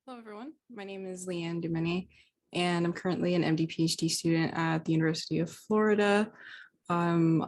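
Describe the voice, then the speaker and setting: Young woman, very low creaky voice. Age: young adult. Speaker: student panelist. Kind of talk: casual self-introduction on a panel. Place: video call.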